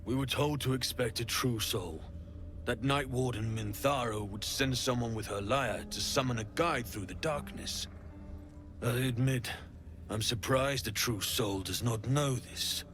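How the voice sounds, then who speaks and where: An actor playing a formal, guarded cultist in a dark fantasy game, speaking in a measured way. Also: male voice, mid-range voice, music in the background, lightly acted. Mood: reserved, doubtful.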